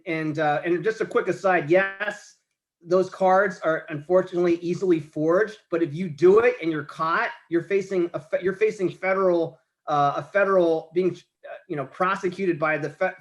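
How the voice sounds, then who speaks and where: American guy in his late 40s, kinda high voice, trips over his words a lot, podcast guest. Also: normal speed. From United States. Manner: rambling, many restarts and stammers. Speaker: guest, marathon expert. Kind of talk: casual conversation.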